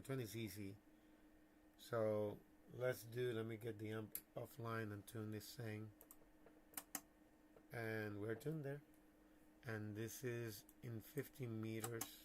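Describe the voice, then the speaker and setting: A man giving casual livestream commentary as a radio hobbyist, thinking aloud in halting phrases. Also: lowish voice, a little hiss or hum. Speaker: amateur radio operator, livestream host.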